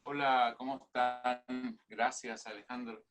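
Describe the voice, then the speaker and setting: Hesitant Chilean man, medium-pitched voice. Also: flat delivery, slow, fairly bright tone, faint music behind. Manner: hesitant, self-correcting. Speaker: guest musician, cellist. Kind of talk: casual interview answer.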